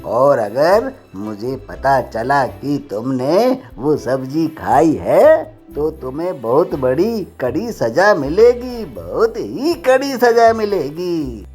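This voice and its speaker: An actor voicing a jovial but stern king in an animated story, making drawn-out, pompous royal pronouncements. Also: fairly high pitch, soft background music. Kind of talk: animated cartoon story acting.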